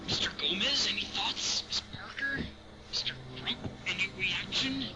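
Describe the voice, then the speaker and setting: A man's voice: sits high, animated, moderate pace, heavy background noise, voice pushed up. A man voicing a bitter middle-aged science teacher in a reading of a drama script, exasperated and emphatic as he lectures a class. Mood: exasperated, demanding.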